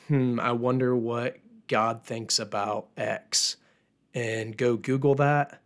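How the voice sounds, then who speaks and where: A young man with a hoarse voice from a recent illness, hosting a solo faith podcast in a casual, earnest way with many fillers. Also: medium pitch. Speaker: podcast host.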